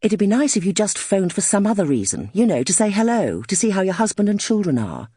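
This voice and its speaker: A woman's voice: mid-range voice, big pitch swings, brisk, mellow, slightly rough, no room sound, clean studio sound, voice pushed up. A woman voicing a tetchy, needling husband on a phone call in an audiobook, curt and sarcastic. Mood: sarcastic, aggrieved.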